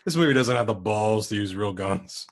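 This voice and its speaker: A man's voice: on the low side, expressive, moderate pace. A man co-hosting a casual movie commentary podcast, throwing blunt wisecracks. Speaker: podcast co-host.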